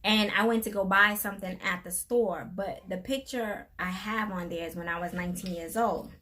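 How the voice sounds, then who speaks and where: A woman's voice: medium pitch, fairly even intonation, moderate pace, bright, forceful tone, a little hiss or hum. A middle-aged woman co-hosting a casual live stream, chatty and laughing often as she tells stories.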